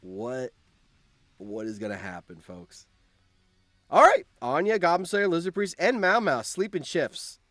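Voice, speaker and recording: A man hosting a live stream, reading out game events and reacting in an animated, exclamatory way with repeated phrases. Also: fairly high pitch, unhurried, no room sound, studio quality, soft background music.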